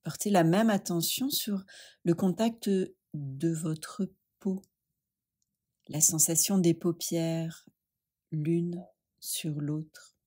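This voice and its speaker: A woman leading a guided yoga nidra meditation, speaking gently and soothingly with long pauses. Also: lowish voice, breathy edge.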